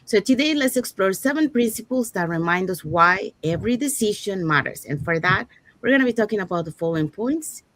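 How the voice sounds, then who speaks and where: A woman's voice: medium pitch, lively intonation, brisk, a bit bright, a little hiss or hum. Woman from Panama hosting a live show. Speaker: show host. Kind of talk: livestream talk show hosting.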